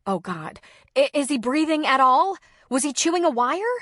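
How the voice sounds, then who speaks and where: A woman's voice: high voice, sing-song, moderate pace, a bit bright, dry recording, studio quality, pitched above the performer's own voice. A woman voicing a practical adult woman who gives firm, urgent instructions.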